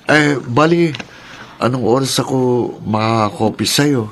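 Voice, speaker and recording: A man's voice: medium pitch, measured pace, fairly bright tone, slight background noise. An actor playing an easygoing, lovestruck male college student in a radio drama. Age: young adult. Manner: easygoing, pleading.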